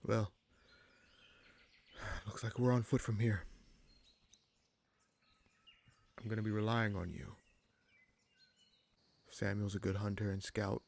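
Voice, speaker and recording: A man playing a gruff but caring human bandit leader in a fantasy audio roleplay, wry and protective, with informal, halting phrasing. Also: little pitch movement, a little mellow. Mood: steady, concerned. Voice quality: gravelly, husky low voice.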